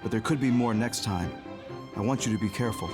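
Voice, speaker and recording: An actor voicing a composed, dutiful major general in an anime game, speaking in a measured, understated way. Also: male voice, on the low side, over music, natural voice. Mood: serious. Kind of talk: English anime game voice acting.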